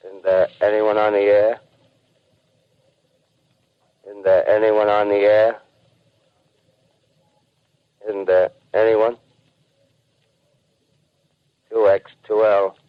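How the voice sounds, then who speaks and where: An actor playing a lonely, desperate radio operator calling out in a 1930s radio drama, with slow, repeated calls. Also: male voice, fairly low pitch, flat delivery, smooth. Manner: slow, repetitive calling with pauses. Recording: muffled lo-fi 1930s radio broadcast recording.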